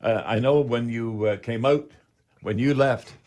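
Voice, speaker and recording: A man's voice: medium pitch, darkish tone. Folksy Canadian radio host, older man. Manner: folksy, halting delivery. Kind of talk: unscripted home improvement radio talk.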